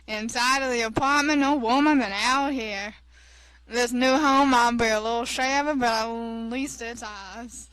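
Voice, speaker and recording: A woman's voice: a little high, unhurried, a bit bright, clear, resonant tone, poor audio. A woman reading visual novel narration aloud as a co-reader on a let's play.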